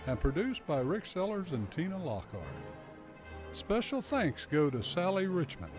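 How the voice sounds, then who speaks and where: A man's voice: fairly high pitch, darkish tone. A man reading scripted credits as a radio announcer. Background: music.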